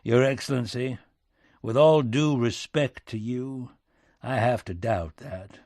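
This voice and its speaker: A man's voice: mid-range voice, takes it slowly, darkish tone, dry recording, studio quality. Man acting a folksy, bitter backwoods American president. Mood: bitter, contemptuous. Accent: American back-country. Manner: folksy, sardonic, emphatic.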